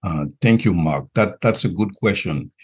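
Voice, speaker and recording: A Haitian-accented man speaks as an interview guest in a deep, husky voice. He answers conversationally, in short measured phrases with frequent pauses. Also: darkish tone. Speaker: podcast guest, regional director and visiting professor.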